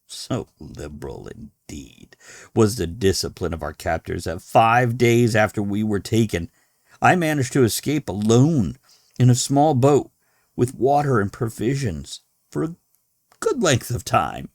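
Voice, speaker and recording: Man performing a despairing castaway sailor for a horror audiobook: grave, formal, very slow, with gravelly creak. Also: lowish voice, very animated, darkish tone, dry recording, studio quality, full character voice. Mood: weary recounting. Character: despairing morphine-addicted sailor writing his final horrified confession.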